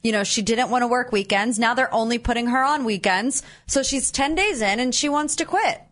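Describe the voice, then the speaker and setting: A woman's voice: on the high side, brisk, a bit bright. A woman co-hosting a morning radio talk show, conversational and agreeable. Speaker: radio co-host. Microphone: studio mic.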